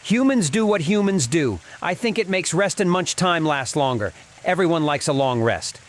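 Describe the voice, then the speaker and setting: A man's voice: fairly high pitch, expressive, moderate pace, close to an everyday voice. A man playing an easygoing, stoic male gnome wagon driver in a dramatized fantasy audio story.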